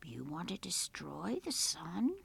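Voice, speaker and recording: A woman voicing wise little men, calm advisers in a folk tale, speaking in a hushed, deliberate, knowing way. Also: fairly low pitch, vocal fry, slightly rough, exaggerated voice. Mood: grave advising.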